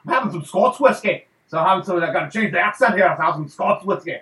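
A man's voice: high voice, lively intonation, quick pace, fairly bright tone. Rambling man on a roomy call. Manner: rambling, laughs often, many fillers. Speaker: podcast host. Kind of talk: casual fan talk show chat. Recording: roomy echo.

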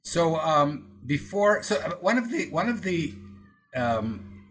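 A man's voice: a little high, sing-song, takes it slowly, a bit bright, husky. A man interviewing a guest in a playful, teasing way, often stumbling and restarting his questions.

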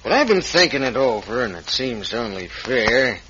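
An actor playing a scheming crooked mine owner in a radio western, with a gruff, folksy American drawl. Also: male voice, mid-range voice, sing-song, normal speed, close to an everyday voice. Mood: falsely friendly. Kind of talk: old-time radio western acting. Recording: muffled, noisy vintage radio recording.

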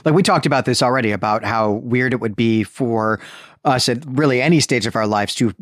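A man's voice: medium pitch, a little fast, no echo, clean studio sound. A man co-hosting a podcast, musing informally about a book with frequent asides. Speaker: podcast co-host. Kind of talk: conversational book discussion.

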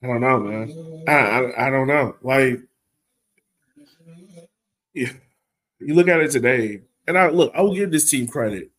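Exasperated man with a mid-pitched voice. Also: unhurried, long pauses, a bit bright. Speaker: podcast co-host. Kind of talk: casual sports commentary.

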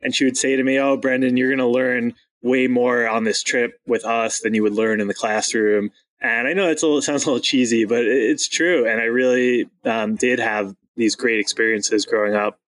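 A man's voice: mid-range voice, little pitch movement, moderate pace, hardly any pauses, a bit bright, rough recording. Earnest man from Long Island. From Long Island, New York. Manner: earnest, open, with occasional laughs and fillers. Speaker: guest. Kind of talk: candid interview conversation.

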